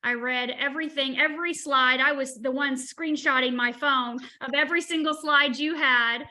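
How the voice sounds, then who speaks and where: A woman's voice: high voice, fairly even intonation, moderate pace, pushed and bright. A woman in her forties explaining study results enthusiastically in an online presentation. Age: 40s. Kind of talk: presenting research in a webinar.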